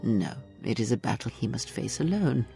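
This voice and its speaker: An older woman playing a weary, cryptic and manipulative mentor in a video game, speaking in slow, solemn measured phrases. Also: very deep voice, lively intonation, vocal fry, dark tone, soft background music, heavily acted. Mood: cold, knowing. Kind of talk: video game dramatic acting.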